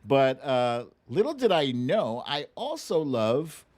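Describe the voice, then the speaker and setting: A man's voice: mid-range voice, sing-song, slow, a little mellow. A man hosting a casual livestream, chatting through news and viewer comments in a wry, teasing, colloquial way. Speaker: livestream show host.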